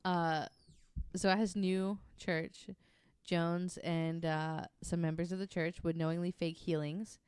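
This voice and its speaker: A woman's voice: on the low side, little pitch movement, unhurried, a little mellow, smooth, no echo, studio quality. A woman hosting a podcast, telling a nonfiction story in a casual, candid and self-deprecating way with some swearing.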